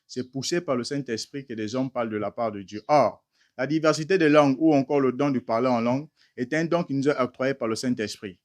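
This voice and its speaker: A man's voice: medium pitch, fairly quick, darkish tone. A man preaching a teaching sermon, emphatic and firing rhetorical questions at listeners he calls beloved brothers.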